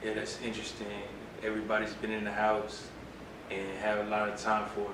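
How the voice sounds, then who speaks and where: A man with a low, flat voice asks brief, curious interview questions. He is heard from some distance in a roomy space, with clear background noise around him. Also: a little fast.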